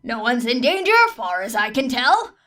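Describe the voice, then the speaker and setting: Casting: put-upon male shop teacher read by a woman, blunt, defensive, raised pitch, audiobook story. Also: big pitch swings, normal speed, fairly bright tone, far from the mic. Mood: harried, defensive. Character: harried, defensive adult male workshop teacher.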